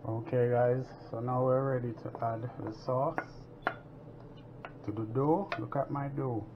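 A man from Jamaica hosts a casual home cooking demonstration, talking slowly and easily to his viewers. His voice sits at an ordinary middle pitch. The sound is dull and lo-fi, with clear kitchen noise running underneath. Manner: relaxed, chatty, proud of his cooking. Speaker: cooking show host.

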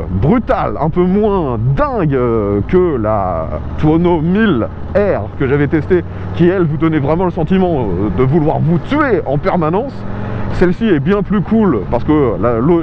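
A man casually reviewing a motorcycle while riding, chatty and enthusiastic, with lots of fillers. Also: on the high side. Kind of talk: casual spoken vehicle review. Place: outdoors riding a motorcycle on rural roads. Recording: muffled helmet mic with road noise. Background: engine and road noise.

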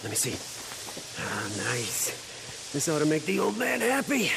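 A man's voice: fairly high pitch, huge pitch swings, measured pace, heavily acted. An actor playing an edgy, argumentative, distrustful male survivor in a video game cutscene. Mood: bitter, complaining. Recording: clear noise and ambience behind.

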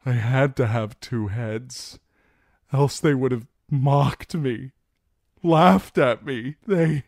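A man's voice: mid-range voice, unhurried, dry recording, clean studio sound, voice pushed up, natural voice. A man voicing a broken, terrified young nobleman in an audiobook, pleading in anguish and desperation. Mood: terrified, desperate. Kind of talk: dramatic audiobook character acting.

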